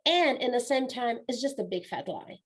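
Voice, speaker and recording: A woman's voice: fairly high pitch, big pitch swings, normal speed, breathy edge. A woman in her forties with a Romanian accent, a non-native English speaker, talking earnestly and reflectively in a conversational interview, often restarting her sentences. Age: 40s. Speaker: guest speaker and coach.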